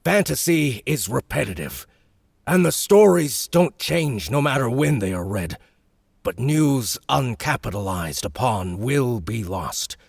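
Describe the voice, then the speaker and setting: A man's voice: medium pitch, big pitch swings, creaky, husky, dry recording, clean studio sound, voice pushed up, full character voice. A man doing a domineering giant baron. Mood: commanding. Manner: slow, measured and domineering. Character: huge shrewd commanding male baron.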